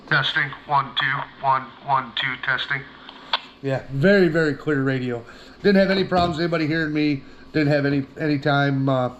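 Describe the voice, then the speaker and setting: A man's voice: mid-range voice, a little hiss or hum, rough recording. A middle-aged man giving a casual, plainspoken product demonstration as an outdoor gear reviewer, often repeating himself for emphasis.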